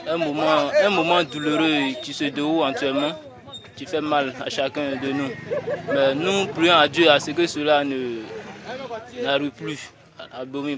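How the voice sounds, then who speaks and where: Young Ivorian man amid crowd noise. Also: medium pitch. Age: young adult. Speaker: high school student interviewed. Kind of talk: spoken appeal to news camera. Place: outdoors among a crowd. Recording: outdoor, clear crowd noise.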